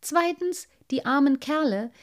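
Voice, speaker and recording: Little storybook water sprite, played by a woman: bold, playful boy, raised pitch, lively swoops. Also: measured pace. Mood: determined. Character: little water sprite boy, cheeky, merry, self-satisfied. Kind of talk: storybook character voice.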